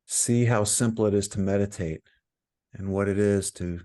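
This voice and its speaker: A man leading a guided meditation as a teacher, giving calm, soothing instructions with frequent pauses. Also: lowish voice.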